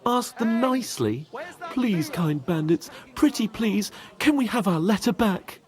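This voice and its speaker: A man's voice: sits high, sing-song, unhurried, a little mellow. An actor playing a hot-tempered, sarcastic young nobleman down on his luck in a medieval video game. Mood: sarcastic, exasperated. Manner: sarcastic, swearing, griping. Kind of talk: video game cutscene acting.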